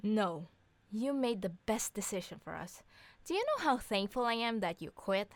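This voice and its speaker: A woman playing a sharp-tongued, bossy wife and mother who teases bluntly in a scripted audio comedy drama. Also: medium pitch, sing-song, moderate pace, no echo. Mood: teasing. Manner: sarcastic, bantering, blunt.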